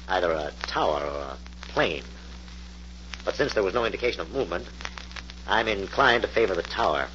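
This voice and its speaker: A confident American scientist-detective in a vintage radio mystery. His voice is fairly low, precise and authoritative. The recording is muffled, with faint noise. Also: expressive, fairly quick, long pauses, pushed and bright. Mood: businesslike. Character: confident, methodical male scientist-sleuth, brisk and commanding. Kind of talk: 1940s radio acting. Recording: muffled, noisy old-time radio recording.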